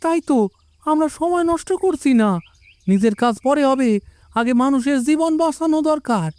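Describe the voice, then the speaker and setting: A woman voicing an eager, dutiful young villager in an animated moral story. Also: high-pitched, normal speed, no echo, close to an everyday voice.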